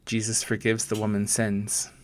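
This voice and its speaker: A man, a pastor gently and deliberately guiding listeners through a scripture meditation in short, paused phrases. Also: on the low side, completely flat delivery, a little hiss or hum. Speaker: pastor leading a guided meditation. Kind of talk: slow guided meditation with scripture storytelling.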